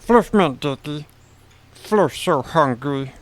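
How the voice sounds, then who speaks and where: A man's voice: on the high side, lively intonation, slow pace, voice pushed up, rough recording. A man voicing a dim, hungry, childlike fantasy creature who talks in broken, simple sentences about himself by name. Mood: goofy. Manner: broken simple grammar, refers to self by name.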